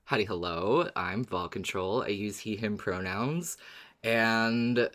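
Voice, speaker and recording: A man co-hosting a podcast, friendly and relaxed, laughing easily. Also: lowish voice, takes it slowly. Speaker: podcast co-host. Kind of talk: casual podcast chat.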